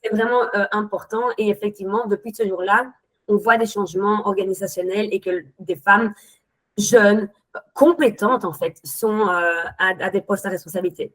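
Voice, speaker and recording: A woman's voice: mid-range voice, fairly even intonation, normal speed, a bit bright, poor audio. Hesitant woman in her thirties. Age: 30s. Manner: hesitant, many restarts, rambling. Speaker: webinar guest, account manager. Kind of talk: spontaneous testimony.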